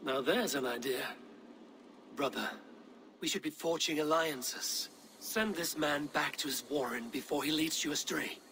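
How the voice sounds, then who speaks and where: An actor playing a bold, blunt Viking warrior in a dramatic game cutscene, speaking in a direct, terse way. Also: male voice, fairly high pitch, measured pace, noisy background. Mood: teasing, critical.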